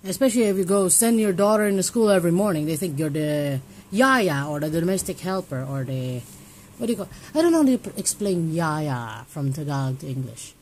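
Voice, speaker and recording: A woman's voice: lowish voice, animated, a little fast, a little mellow, a little hiss or hum. Rambling woman vlogging at home. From Hong Kong. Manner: rambling, restarts often, thinks aloud. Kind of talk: casual personal vlog. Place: home, indoors.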